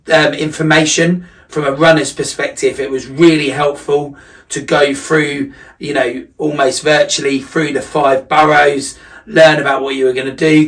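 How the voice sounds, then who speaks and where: A man talks through a personal recap in a conversational vlog style. His voice sits slightly high and is picked up from a little distance. He is reflective, leaning on key words for emphasis. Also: a bit bright. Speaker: running coach vlogger.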